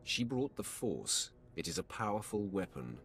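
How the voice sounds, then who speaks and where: A man's voice: sits low, very animated, moderate pace, a bit bright, a little hiss or hum, full character voice. A man voicing a proud, ruthless Sith apprentice in a video game, curt and haughty. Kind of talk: scripted video game acting.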